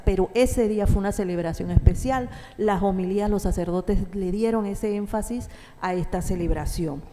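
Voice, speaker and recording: A woman giving a measured, thoughtful talk to a parish audience, pausing with fillers and asking the listeners questions. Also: medium pitch, warm tone. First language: Spanish. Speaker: parish speaker at a church talk. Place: parish hall or church. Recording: distant echoing church hall with faint noise.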